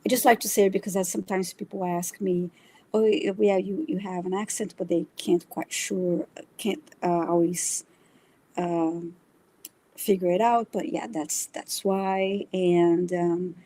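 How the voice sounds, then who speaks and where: Brazilian-accented woman, kinda low voice, lots of ums and restarts, casual guest chat. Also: steady tone, unhurried, warm tone. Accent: Brazilian Portuguese. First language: Brazilian Portuguese. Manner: hesitant, many fillers and restarts.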